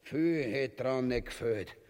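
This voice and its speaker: An actor with an Austrian accent playing a pompous, gluttonous, cowardly tyrant in bombastic dialect for a grotesque comic radio drama. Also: male voice, medium pitch, takes it slowly, a little mellow, clean tone, natural voice. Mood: grumbling, greedy. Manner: bombastic, drawling, mock-elevated dialect speech. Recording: long hall-like reverb.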